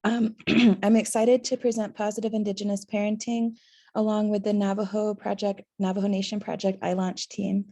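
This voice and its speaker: A slightly hoarse woman opening a webinar presentation, talking earnestly with pauses and "um" fillers. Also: medium pitch, level tone, dark tone.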